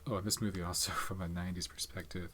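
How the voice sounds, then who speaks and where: A soft-spoken man in his thirties hosting an ASMR channel, rambling casually about movies with many fillers and some whispered words. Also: low-pitched, level tone, quick pace, noisy background. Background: tapping and keyboard clicks.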